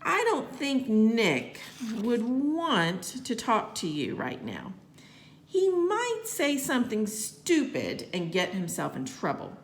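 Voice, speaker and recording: An easygoing fifth-grade boy from a storybook, voiced by a woman reading aloud. He is casual and matter-of-fact, with a fairly high, swinging pitch. The recording has a roomy echo. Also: wildly swooping pitch, slow pace, a little hiss or hum, heavily acted. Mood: guarded. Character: easygoing, grinning fifth-grade schoolboy with glasses.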